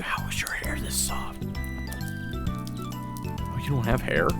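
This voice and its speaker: Guy doing an audio roleplay, rough gravelly voice, swings all over in pitch, stammers a lot. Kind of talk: first-person audio roleplay, narrating and speaking to the listener. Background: video game soundtrack music.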